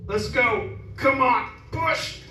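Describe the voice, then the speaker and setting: A man playing a gruff, stubborn middle-aged father who scoffs at superstition, in old radio horror style. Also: very high voice, slow pace, bright, forceful tone, heavily acted. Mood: bossy, urging. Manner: gruff, dismissive, bossy. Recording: roomy distant recording, faint noise.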